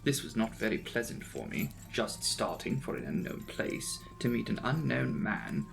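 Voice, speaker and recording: A man narrating a classic novel as a dramatic audiobook, with measured, formal, theatrical phrasing. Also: medium pitch, very animated, vocal fry, over music, low-quality recording.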